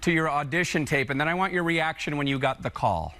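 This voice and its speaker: A man's voice: on the high side, lively intonation, quick pace, a bit bright. An American man hosting a TV talk show, interviewing in an upbeat, conversational way.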